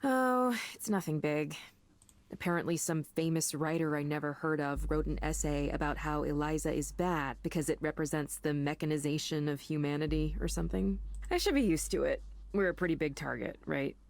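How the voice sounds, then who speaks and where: A woman's voice: deep voice, moderate pace, no echo. A woman acting an earnest, idealistic tech worker in a visual novel game, speaking in a conversational, impassioned way. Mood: irritated, curious. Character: earnest, idealistic woman defending her mental-health tech work. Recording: music behind the voice.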